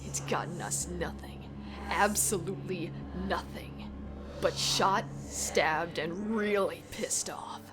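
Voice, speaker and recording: A woman's voice: fairly low pitch, slow pace. A woman voicing an evil mirror-self cyborg pony mare in a story reading, mocking and savage, ranting with profanity. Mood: bitter, sneering. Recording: music bed behind the voice.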